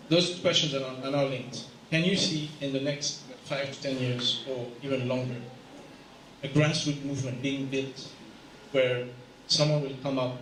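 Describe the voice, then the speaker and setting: A man from Kenya asking a question from the audience at a round table, in a measured, explanatory way. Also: medium pitch, a bit bright, a little hiss or hum. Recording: echoey room, picked up from a distance.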